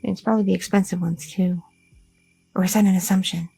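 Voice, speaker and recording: A woman's voice: mid-range voice, steady tone, fast talker, vocal fry, dark tone, husky, soft background music, poor audio. A woman playing an anxious, devoted young goth girlfriend in an ASMR audio roleplay, hesitant and rambling, often repeating herself. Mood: curious, reassuring. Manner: hesitant, stammering, self-interrupting, repeating words.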